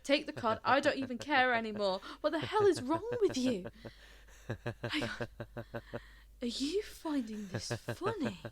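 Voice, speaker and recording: A woman's voice: mid-range voice, animated, moderate pace, creaky, a crowd in the background. A woman playing an indignant, sarcastic young witness in an audio drama, speaking in a colloquial, exasperated way. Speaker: voice actor in a fan audio drama. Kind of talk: audio drama acting, conversational monologue.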